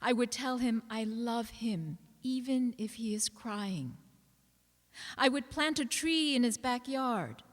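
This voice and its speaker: A woman's voice: a little high, expressive, slow pace. A woman giving a dramatic reading of a personal piece of writing as an actor on stage. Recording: reverberant hall recording.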